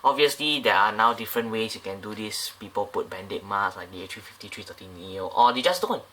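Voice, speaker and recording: Man, low-ish voice, bright edge, rambling casual review, faint background noise. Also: fairly quick. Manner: rambling, many fillers and restarts. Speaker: model aircraft reviewer.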